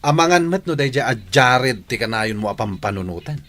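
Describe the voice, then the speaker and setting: Actor as a animated, domineering young husband. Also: male voice, mid-range voice, normal speed. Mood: jealous, accusing. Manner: demanding, accusing. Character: jealous, domineering young husband, suspicious and scolding. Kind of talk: radio drama acting.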